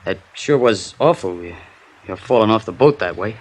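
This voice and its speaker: Nervous young fisherman with a guilty secret, 1950s radio drama, stammering, pleading, very mobile pitch. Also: lively intonation, moderate pace. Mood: nervous, evasive.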